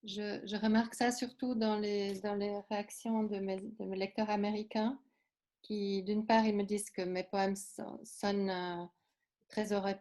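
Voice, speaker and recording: A woman's voice: medium pitch, warm tone. A woman giving consecutive interpretation, hesitant with many fillers and restarts.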